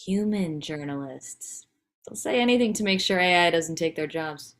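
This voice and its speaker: Actress as wry wife and mother, candid, dry teasing, sitcom table read, animated intonation. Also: on the low side, normal speed.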